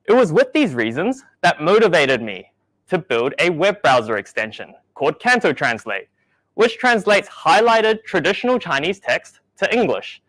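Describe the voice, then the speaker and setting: A young man raised in New Zealand giving a measured, explanatory tech talk at a meetup, with the odd dry joke. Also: on the high side, big pitch swings, fairly bright tone, dry recording, poor audio. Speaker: meetup speaker.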